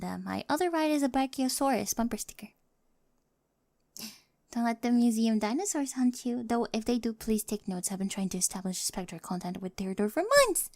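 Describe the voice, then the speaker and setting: A woman's voice: fairly high pitch, creaky, darkish tone. A woman voicing an eccentric, infatuated teenage girl, rambling nervously and over-eagerly in an ASMR romance roleplay. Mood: shy happy. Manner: rambling, self-undercutting, nervous confessions.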